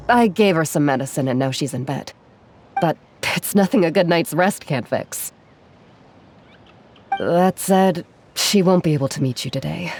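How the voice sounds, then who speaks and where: Game VO: actress as a modest, soft-spoken artisan woman, lower pitch, calm and caring. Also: lightly acted. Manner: calm, gentle, apologetic, modest. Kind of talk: game cutscene dialogue. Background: game music.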